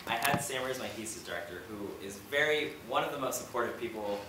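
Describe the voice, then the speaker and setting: A young man speaking as a playwright on a discussion panel, answering questions in an informal, halting, reflective way. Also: fairly low pitch, lively intonation, sharp tone. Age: young adult. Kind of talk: audience Q&A panel talk. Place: echoey indoor theater. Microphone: distant camera mic. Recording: echoey room, distant mic, noisy background.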